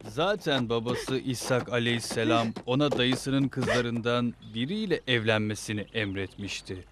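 A man's voice: medium pitch, normal speed, noisy background, lightly acted. A man playing an earnest, devout villager in a religious cartoon. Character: worried, devout male villager.

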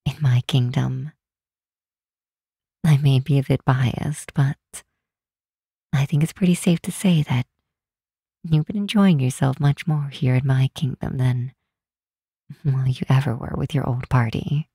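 Woman as a very deep-voiced, breathy demon queen. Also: steady tone, no echo, studio quality, heavily acted. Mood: encouraging, firm. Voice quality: soft, breathy, hushed. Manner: slow, deliberate, soothing. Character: regal, protective demon queen, gently reassuring. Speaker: ASMR roleplay voice actor. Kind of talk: ASMR roleplay, comforting monologue.

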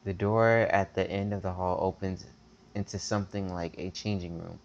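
Low-voiced man reading a game aloud. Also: steady tone, normal speed, muffled recording, slight background noise. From America. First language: not English. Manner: stumbles over words, chatty asides. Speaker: gameplay commentator reading the game's text aloud. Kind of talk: casual let's-play reading and chat. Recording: faint game music behind.

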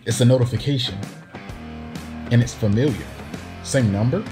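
A man's voice: medium pitch, normal speed. Man performing spoken-word poetry over guitar music. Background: plucked guitar music.

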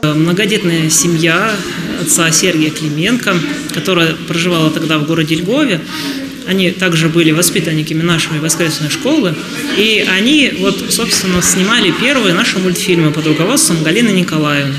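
A man's voice: fairly high pitch, a little fast. A man in his thirties, a priest, speaking in an interview. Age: 30s. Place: indoor room. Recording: echoey room with background chatter.

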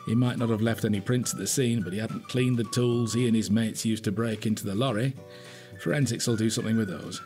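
An older British man acting a shrewd, blunt, gruffly affectionate detective inspector and husband in a naturalistic radio drama. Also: lowish voice, steady tone, brisk, a little mellow, faint music behind, lightly acted. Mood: quietly pleased. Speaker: actor in an audio drama.

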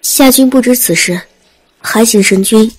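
A woman's voice: fairly high pitch, a little slow, a little mellow, slightly rough, rough recording. A woman playing an earnest, respectful immortal attendant with formal speech in an audio drama.

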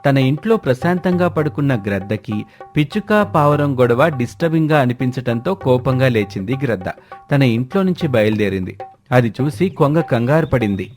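A man's voice: mid-range voice, animated, moderate pace, darkish tone. Man narrating a story over music. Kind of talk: scripted narration of a children's cartoon story. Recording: music bed under the voice.

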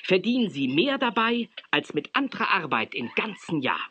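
An actor playing an earnest, authoritative wildlife official in a children's audio drama, formal and insistent. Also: male voice, sits high, very animated, normal speed, sharp tone, highs cut off, slight background noise, exaggerated voice. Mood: emphatic.

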